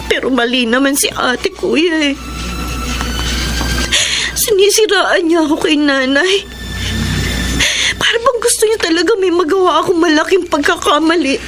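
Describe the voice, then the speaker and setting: A woman's voice: very high voice, moderate pace, pauses often, music in the background. A young woman playing an emotional, hard-working younger sister in a radio drama, with intense feeling. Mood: hurt, tearful. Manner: tearful, pleading, emotionally intense. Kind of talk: Tagalog radio drama acting.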